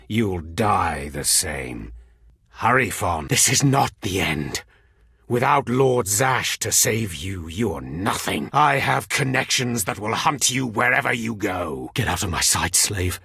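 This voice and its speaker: Sci-fi game villain: Sith overseer, low and very raspy, imperious, sneering contempt, menacing delivery, dry studio sound. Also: male voice, a bit bright, dry recording, heavily acted. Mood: threatening. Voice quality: raspy, husky. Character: cruel, contemptuous Sith overseer, a grown man. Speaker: video game voice actor. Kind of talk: scripted video game dialogue.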